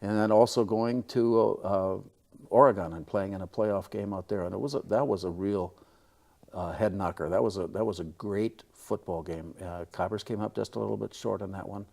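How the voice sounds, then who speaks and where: An older man with a Midwestern American accent, talking modestly and in wordy, measured phrases as an interview guest. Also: fairly low pitch, gravelly, mellow. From small-town upper Midwest, United States. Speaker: radio play-by-play broadcaster, interview guest. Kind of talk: casual interview chat.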